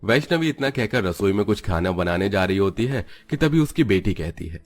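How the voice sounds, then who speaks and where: Casting: male narrator with a deep voice for scripted children's cartoon tales. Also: lively intonation, fairly quick, a little mellow.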